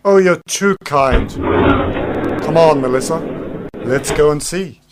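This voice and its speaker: A man's voice: a little high, takes it slowly, very noisy. A man playing an eccentric, wry older time traveller in a radio play, with a whimsical, theatrical delivery. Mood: wry, polite. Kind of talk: hobby radio play acting. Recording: amateur radio play under loud music.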